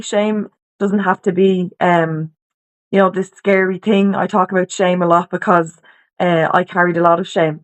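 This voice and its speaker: An Irish woman from Dublin, a podcast guest, talking candidly and informally about her life on a video call, with many restarts and "you know"s. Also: medium pitch. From Ireland, Dublin. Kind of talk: candid personal story in conversation.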